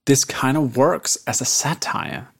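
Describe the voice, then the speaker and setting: A man with a Danish accent co-hosting a podcast, talking in an animated, sarcastic way and swearing freely. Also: mid-range voice, measured pace, gravelly, breathy edge. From Denmark. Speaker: podcast co-host. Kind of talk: casual chat.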